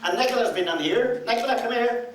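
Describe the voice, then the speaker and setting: A man acts a bossy pantomime comic with an Irish accent, quick and high-pitched. He banters from a distance in a very echoey hall. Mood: presenting. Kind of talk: amateur pantomime stage banter, addressing the audience. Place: stage in a hall. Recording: echoey hall, distant mic, background noise.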